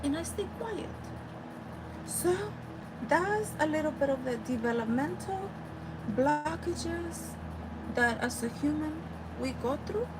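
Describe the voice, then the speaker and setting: A woman with a Salvadoran Spanish accent delivers a spiritual teaching and keeps turning to her listeners to ask if they see her point. Her voice sits high and swings widely in pitch. Clear vehicle and street noise runs behind her. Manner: animated, addresses listeners directly. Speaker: spiritual healer.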